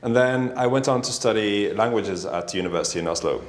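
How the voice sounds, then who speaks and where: A middle-aged man from Norway, a native Norwegian speaker, answering interview questions thoughtfully with many pauses. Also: fairly low pitch. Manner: thoughtful, hesitant, many ums. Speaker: guest, company founder. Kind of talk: casual interview. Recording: echoey room.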